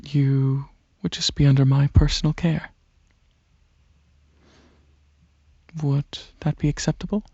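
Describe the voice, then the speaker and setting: A soft, gravelly dragon alien prince played by a man speaks in an ASMR roleplay, slowly and hesitantly. His delivery is gentle and coaxing, with long pauses. The close recording is dry and slightly muffled. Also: on the high side, fairly even intonation, no echo. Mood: pleased, reassuring. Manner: hesitant, unhurried, soothing, with frequent pauses. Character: gentle dragon alien prince, centuries-old royal researcher, softly coaxing. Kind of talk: ASMR roleplay, soft-spoken monologue to the listener.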